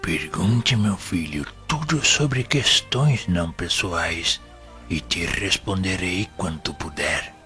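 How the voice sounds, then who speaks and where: A man performs a humble, wise spirit minister in a Brazilian audio drama, with music behind him. His male voice is very deep and unhurried, with a Brazilian accent. He teaches like a gentle, solemn father. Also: expressive. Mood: gentle, fatherly. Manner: solemn, gentle, measured, paternal. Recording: voice over background music.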